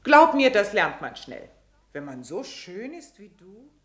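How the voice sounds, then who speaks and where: An actress playing a shrewd, jealous member of a theatre troupe in a radio drama, coaxing and teasing. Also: female voice, medium pitch, very animated, measured pace, background noise. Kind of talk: German radio drama acting.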